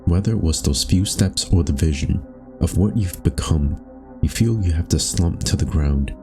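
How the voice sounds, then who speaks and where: A man reading scripted second-person narration for a dark existential story in a solemn, measured, brooding manner. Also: low-pitched, over music.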